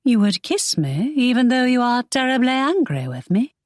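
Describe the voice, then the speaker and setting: A woman voicing an innocent, spirited young lady in an audiobook, speaking earnestly in a raised, lively voice. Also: on the high side, moderate pace, dry recording, clean studio sound, pitched above the performer's own voice. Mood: earnest indignant.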